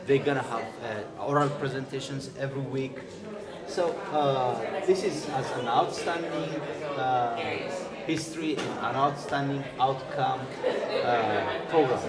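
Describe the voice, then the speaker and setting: A man in his thirties with an Ethiopian accent, presenting a research poster at a conference with many hesitant fillers. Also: medium pitch. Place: large busy conference hall. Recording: echoey hall with loud crowd chatter.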